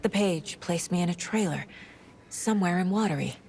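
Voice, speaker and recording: An actress playing a pensive detective in a video game, musing tersely through clues in a measured inner monologue. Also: female voice, fairly low pitch, gravelly, a little mellow, slight background noise. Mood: pensive, intent.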